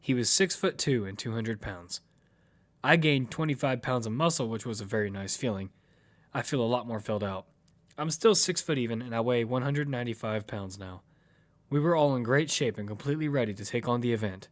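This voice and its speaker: A man's voice: mid-range voice, a little fast, natural voice. A man playing a determined lead who narrates his own survival story in a matter-of-fact way. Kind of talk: first-person audio fiction narration. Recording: music bed behind the voice.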